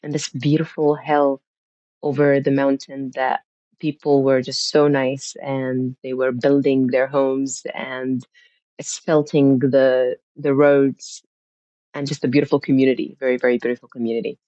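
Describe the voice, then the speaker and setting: A woman's voice: a bass voice, flat delivery, measured pace, dark tone, dry recording. A woman from Syria, a podcast guest, recounting her family history in a reflective, conversational way with frequent restarts. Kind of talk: conversational interview. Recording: muffled lo-fi sound.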